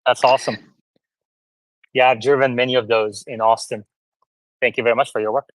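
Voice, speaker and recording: Guy hosting a live call-in space, friendly and quick, dry close sound, mid pitch. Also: little pitch movement, dry recording, poor audio. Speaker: host of a live audio space. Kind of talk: casual chat, moderating callers.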